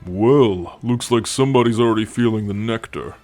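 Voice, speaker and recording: A man's voice: on the low side, normal speed, a little mellow, faint music behind, natural voice. A man voicing an easygoing alien tank soldier in an ASMR roleplay, wry and flirtatious, always ribbing his friend. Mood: flirty, coaxing.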